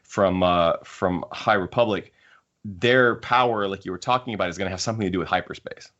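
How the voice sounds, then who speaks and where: Really low guy voice, podcast host just thinking out loud and rewording stuff, bit muffled audio. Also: fairly quick. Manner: musing, circles back to rephrase points. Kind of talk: casual fan discussion.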